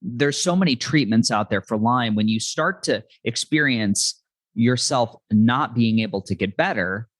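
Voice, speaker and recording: An earnest, reflective man hosting a podcast, talking in long run-on thoughts. His voice sits at a medium pitch. He sounds close and dry, with no room around him. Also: animated, unhurried, no room sound. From New York City. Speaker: podcast host. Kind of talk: casual interview chat.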